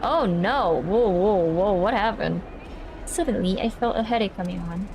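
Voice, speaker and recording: A woman from the Philippines, slightly raspy, chatty and giggly as she reads a visual novel aloud on stream. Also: medium pitch, moderate pace, noisy background. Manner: chatty, giggly, reacting to the game. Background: game music.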